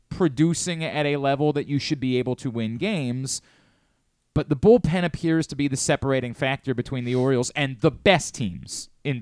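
A man hosts a sports radio show, analyzing the game in an opinionated, emphatic way. His voice sits at a middling pitch, and the studio sound is close and dry. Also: clear, resonant tone, no echo. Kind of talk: sports talk commentary.

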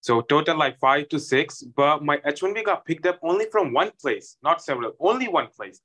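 A man's voice: a little high, lively intonation, moderate pace, sharp tone. A man calling in with a question, talking informally and conversationally. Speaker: caller asking a question.

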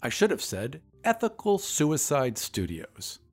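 An elderly male doctor, acted out by a man, holds forth in an audio drama. He is grand and full of optimism, with an expansive, very animated delivery that often lifts high. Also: a little slow, slightly rough, soft background music, full character voice. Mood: grandly enthusiastic. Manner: expansive, speechifying.

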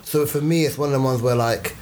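A man with a raspy, gritty voice with a slight lisp, talking in a casual podcast chat. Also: mid-range voice, fairly even intonation, fast, a little mellow, a little hiss or hum. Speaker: podcast co-host.

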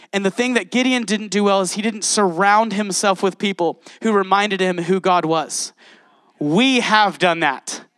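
A man's voice: sits high, a bit bright, no room sound. A man preaching a sermon, reading scripture and speaking passionately straight to his congregation. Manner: passionate, addresses congregation directly. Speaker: pastor.

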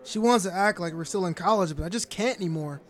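Radio-play lead, male actor: twenties-type young guy, high-pitched for a man, apologetic, tired of it all. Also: fast talker, lightly acted. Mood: subdued, weary. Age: young adult. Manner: hesitant, apologetic. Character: weary, apologetic young man fed up with his life. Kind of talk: audio drama acting.

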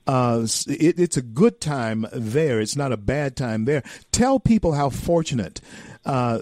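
Slow-talking male radio host. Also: medium pitch, a little mellow, no room sound, studio quality. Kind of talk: deliberate interview questioning.

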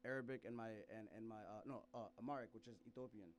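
A man's voice: lowish voice, steady tone, quick pace, a little hiss or hum. A man from South Sudan telling a rambling personal story in casual chat, laughing often and restarting his sentences.

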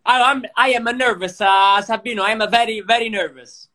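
A young Italian man with an Italian accent, a guest actor talking in a casual, animated and joking way on a livestream podcast. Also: high-pitched, brisk. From Italy. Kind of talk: casual chat.

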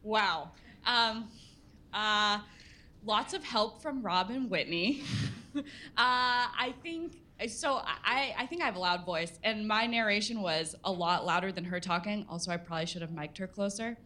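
Bright-voiced woman answering audience questions. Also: medium pitch, expressive, measured pace. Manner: self-deprecating, laughs often, hesitant fillers. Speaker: workshop student reporter. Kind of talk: live Q&A answers. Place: listening party in an event space.

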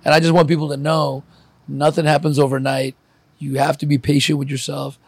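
A man's voice: on the high side, little pitch movement, a little fast, creaky, husky, dry recording. A man from Ukraine hosting a casual, candid interview chat. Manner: candid, reflective, swears casually. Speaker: podcast host.

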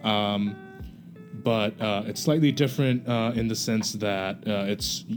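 A man's voice: on the low side, fairly even intonation, measured pace, over music. A young man from Bangladesh, a podcast guest, explaining things casually with many hesitations and fillers.

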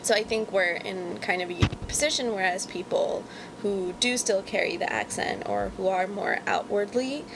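A woman with a gravelly, fry-edged voice talks frankly and casually, laughing now and then as she co-hosts a podcast. She was born in Pakistan. The recording is noisy, with a low rumble underneath. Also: normal speed. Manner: candid, chatty, laughs while talking. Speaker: podcast co-host. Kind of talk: casual conversation.

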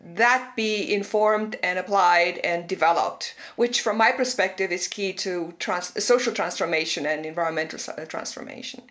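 A woman's voice: lowish voice, moderate pace, hardly any pauses, a bit bright. Woman host from Romania asking winding questions. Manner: thoughtful, long winding questions with fillers. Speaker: podcast host. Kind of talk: interview questions.

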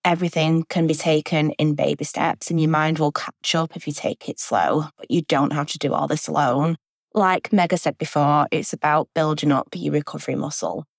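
A woman's voice: low voice, steady tone, normal speed, gravelly, dry recording, clean studio sound. A woman, sharing a recorded message in an earnest, reflective way. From England. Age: 20s.

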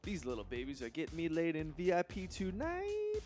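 A bratty, self-absorbed rich teen boy, acted by a man, whines and brags in a raised voice that swings wildly up and down. Soft music plays behind him in this cartoon comedy. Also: a little high, wildly swooping pitch, moderate pace. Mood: boastful. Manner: whiny and boastful, with sudden loud outbursts. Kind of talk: animated comedy voice acting.

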